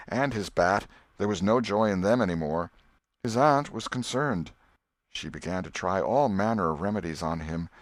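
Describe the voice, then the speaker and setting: A man reading a storybook as an audiobook narrator, in a measured, expressive way. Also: fairly low pitch, dry recording.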